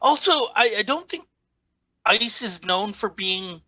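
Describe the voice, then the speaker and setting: A man's voice: high voice, lively intonation, a little slow, sharp tone, dry recording. A man giving casual comic commentary as a co-host, with a giggly, sardonic manner. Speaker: riffing co-host.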